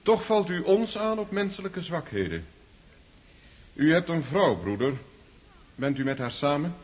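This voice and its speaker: Stern freemason inquisitor, fairly high male voice, formal, admonishing, radio drama. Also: sing-song, a little slow. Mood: stern, probing. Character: stern, righteous freemason lodge brother, interrogating.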